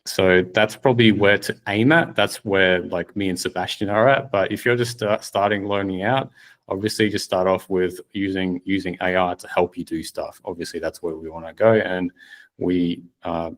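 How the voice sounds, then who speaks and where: A man's voice: low voice, fairly even intonation, moderate pace. A man hosting a course video call, upbeat and encouraging. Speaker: course host. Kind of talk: casual call wrap-up.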